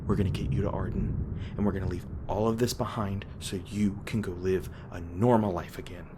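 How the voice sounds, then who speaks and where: A man playing a gruff, guilt-ridden monster hunter with a tender side, speaking haltingly and emotionally in an intimate audio roleplay. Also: low voice, fairly even intonation, natural voice. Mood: resolute, then ashamed. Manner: halting, stammering, emotional, swearing. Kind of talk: intimate dramatic audio roleplay addressed to listener. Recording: noticeable background noise and ambience.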